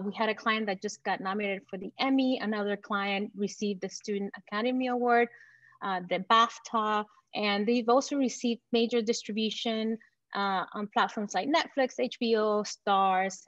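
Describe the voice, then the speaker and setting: A woman from Venezuela presenting her company in an enthusiastic, confident startup pitch. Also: no room sound, muffled recording. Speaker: company founder pitching to investors.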